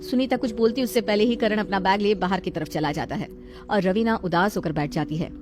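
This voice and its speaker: A woman's voice: medium pitch, fairly quick. A woman telling a scripted dramatic story as the storyteller. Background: background music.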